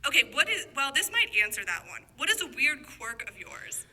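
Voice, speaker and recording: A woman's voice: sits high, big pitch swings, fairly quick, very noisy. A woman hosting a casual, chatty interview in an encouraging, affirming way. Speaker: host, interviewer.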